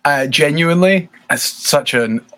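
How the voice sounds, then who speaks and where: A man in his thirties from Scotland with a Scottish accent, chatting relaxed and self-deprecating as a podcast guest. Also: a little high, measured pace. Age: 30s. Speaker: podcast guest, band bassist. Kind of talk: casual interview chat.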